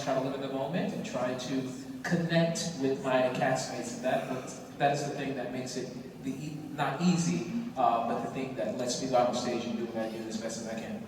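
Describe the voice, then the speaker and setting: An opera tenor speaking thoughtfully on a large stage during an onstage discussion, with frequent fillers. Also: male voice, medium pitch, noisy background. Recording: echoey hall from far off.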